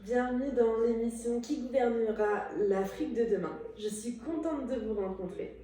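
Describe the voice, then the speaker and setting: A woman's voice: a little high, moderate pace, dark tone. A woman in her twenties, a journalist hosting an interview, speaking with some hesitant fillers. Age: 20s. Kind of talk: interview hosting, reading aloud. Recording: echoey room, mic far off.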